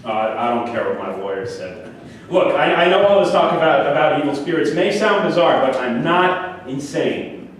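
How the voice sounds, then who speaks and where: An actor in a staged play reading, playing a delusional, defensive murder defendant who speaks earnestly and insistently, repeating words haltingly. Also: male voice, fairly high pitch, expressive, close to an everyday voice. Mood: defiant. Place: staged reading in an echoey room. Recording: echoey room, distant mic, faint noise.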